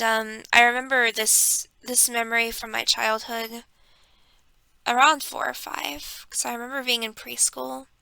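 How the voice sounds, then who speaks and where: A young woman quietly telling a personal story in a home vlog, keeping her voice hushed, with frequent ums and pauses, close to a desk microphone. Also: fairly high pitch, vocal fry, no echo. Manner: hushed and reflective, with many ums. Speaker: vlogger.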